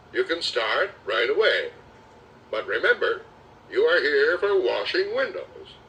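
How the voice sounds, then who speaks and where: A man reading the part of a brisk, firm, instructive elevator man in a children's storybook. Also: fairly high pitch, bright, forceful tone, distant mic, voice pushed up, exaggerated voice, rough recording. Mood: welcoming. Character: brisk, stern but welcoming male elevator man.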